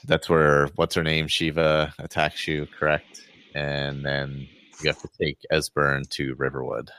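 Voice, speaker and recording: A man's voice: very deep voice, monotone, unhurried, fairly bright tone, clear, resonant tone, dry recording. A man on a podcast panel chatting casually and informally as he recounts his experiences. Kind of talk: casual chat, retelling gameplay anecdotes.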